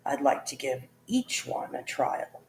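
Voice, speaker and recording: A woman's voice: a bass voice, very animated, measured pace, gravelly, darkish tone, echoey room, far from the mic, slight background noise, pitched below the performer's own voice, exaggerated voice, poor audio. A woman voicing a widowed king who complains in an exasperated way. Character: fussy widowed king, man.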